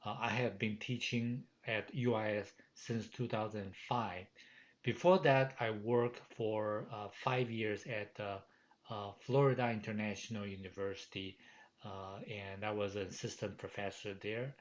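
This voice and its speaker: A middle-aged man from Taiwan, a professor giving a course welcome lecture from his home office, with many fillers and pauses. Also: lowish voice, steady tone. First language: Chinese. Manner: frequent hesitations and fillers, earnest. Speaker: university professor. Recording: dull lo-fi home recording.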